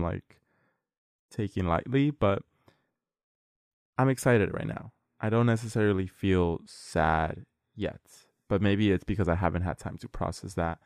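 A nineteen-year-old man with a very low voice co-hosts a casual podcast. He rambles in an earnest way, full of fillers. The sound is dry and close to the mic. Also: darkish tone, no echo. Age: late teens. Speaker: podcast co-host.

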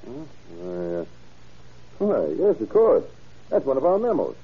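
Very husky, helpful radio-drama townsman. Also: mid-range voice, wildly swooping pitch, unhurried, exaggerated voice. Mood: polite, helpful. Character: helpful, matter-of-fact male townsman, deputy or bank cashier. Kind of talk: old-time radio crime drama acting. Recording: muffled vintage radio drama recording.

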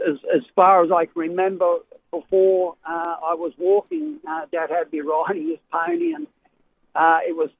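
Gravelly Australian man on a phone line. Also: high voice, lively intonation, moderate pace. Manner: rambling, hesitant, many fillers and restarts. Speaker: racehorse trainer guest. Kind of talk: casual radio interview. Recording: tinny phone line with faint hiss.

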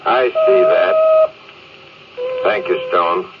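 An actor playing a stubborn, domineering spaceship captain in a brusque, commanding radio-drama style. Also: male voice, fairly low pitch, huge pitch swings, drawn-out words, exaggerated voice. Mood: curt, commanding. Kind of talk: old-time radio drama acting. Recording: muffled, very noisy old radio recording.

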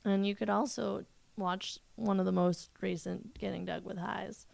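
A woman's voice: medium pitch, level tone, moderate pace, creaky, darkish tone, no room sound. A woman co-hosting a podcast in casual chat, playful and fond of puns. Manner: joking, punny, playful. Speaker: podcast co-host.

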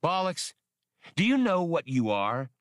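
A man reading an audiobook, voicing a cocky, hot-tempered male smuggler captain who talks scornfully and loudly. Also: a little high, sing-song, no echo, clean studio sound, voice pushed up. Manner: scornful, loud and quick to anger.

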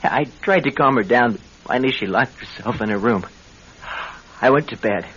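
An actor playing a scheming, two-faced husband in a radio drama, smooth-talking but nervy. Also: male voice, mid-range voice, normal speed, fairly bright tone. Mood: feigned distress. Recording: dull, noisy radio recording.

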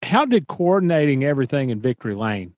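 A man's voice: mid-range voice, very animated, fast talker, darkish tone. A man hosting a podcast interview, asking short, direct questions. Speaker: podcast host. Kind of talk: casual interview.

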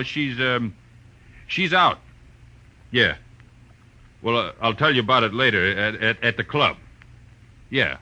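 A man's voice: on the low side, exaggerated voice. An actor playing a menacing, heavyset male crook with a thick voice and terse, bullying manner in 1940s radio drama. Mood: evasive. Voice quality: thick, soft-bodied voice. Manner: bullying, terse, calls people buddy. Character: menacing, soft, fat, bald male crook with gun.

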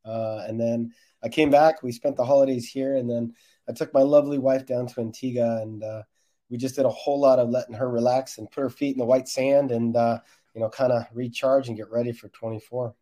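A man's voice: medium pitch, fairly even intonation, fairly quick, mellow. A man who coaches soccer, answering interview questions in a conversational, explanatory way.

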